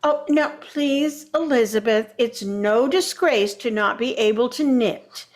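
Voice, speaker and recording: A woman's voice: fairly high pitch, a little slow, natural voice. An actress playing a condescending older mother-in-law, sugary and backhanded, in vintage radio sitcom style. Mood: patronizing.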